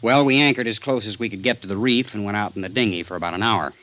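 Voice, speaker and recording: A man's voice: fairly low pitch, pushed and bright, no room sound. An actor playing a defensive, earnest young man under suspicion in a vintage radio drama. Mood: earnest recounting. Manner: defensive, earnest, quick to bristle. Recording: dull, band-limited old radio recording.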